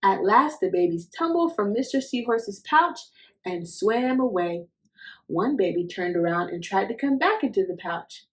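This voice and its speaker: A woman hosting a children's storytime, reading a picture book expressively with drawn-out, child-directed phrasing. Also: mid-range voice, lively intonation.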